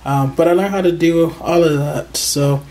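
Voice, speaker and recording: A crochet channel host in a casual show-and-tell, sounding excited and proud and pausing often with hesitant ums. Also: medium pitch, low-quality recording. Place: indoors at a table.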